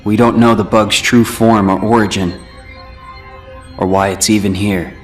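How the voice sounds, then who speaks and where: An actor playing a calm, wry young male companion in video game cutscenes, speaking in a measured, dry, serious way. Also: fairly low pitch, close to an everyday voice. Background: faint game music.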